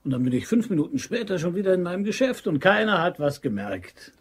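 An actor playing a cocky, scheming amateur robber in a German crime radio drama, speaking in a clipped, cocksure way. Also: male voice, high voice, big pitch swings, fast talker, slightly rough, heavily acted.

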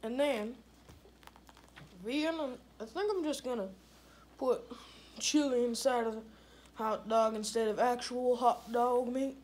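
A woman's voice: fairly high pitch, steady tone, unhurried, pauses often, darkish tone, slight background noise. A woman doing an improvised comedy skit with plush toys on a living room couch, with a drawling, mumbly delivery. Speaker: puppeteer voicing plush toys.

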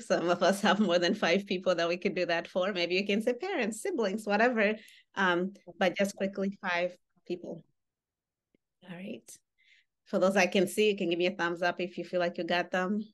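A woman's voice: lowish voice, moderate pace. A woman in her thirties, who grew up in Uganda, leading an online workshop on a video call and talking directly to the participants. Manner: animated, gestures while speaking, engages participants directly. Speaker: workshop facilitator. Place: home room with wall baskets. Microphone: webcam on a video call.

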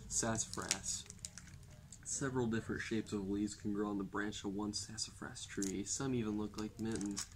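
A young man reading a children's non-fiction book aloud in a deadpan manner, recorded a few feet from the camera indoors. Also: fairly low pitch, moderate pace, poor audio. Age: young adult. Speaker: reader. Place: indoors, public library, at a table.

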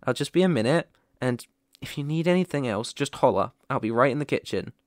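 A man's voice: medium pitch, expressive, fairly quick, no echo, close to an everyday voice. A young man playing a caring, playful boyfriend looking after his sick partner in an intimate, soothing ASMR roleplay, close to the microphone. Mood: tender, upbeat. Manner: gentle, soothing, affectionate, chuckling often. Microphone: close microphone, dry.

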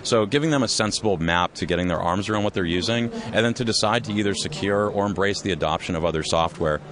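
Low-pitched, fast-talking male founder, measured and explanatory. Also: a crowd in the background. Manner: measured, explanatory, with frequent restarts. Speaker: company co-founder and CEO, interview guest. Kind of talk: conversational business interview. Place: trade expo floor.

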